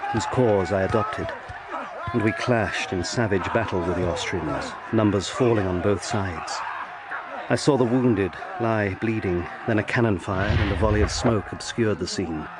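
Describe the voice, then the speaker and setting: An earnest young lawyer of the eighteenth century, with a lowish voice. He tells his haunted story in a grave, intense audio drama style. There is clear noise behind him. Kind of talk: dramatic first-person audio drama narration.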